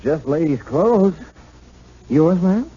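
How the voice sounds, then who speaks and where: Animated, awkward, kindly beat cop. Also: male voice, on the high side, takes it slowly. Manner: hesitant, folksy, plainspoken. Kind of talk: 1970s radio drama acting. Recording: dull, noisy old radio recording.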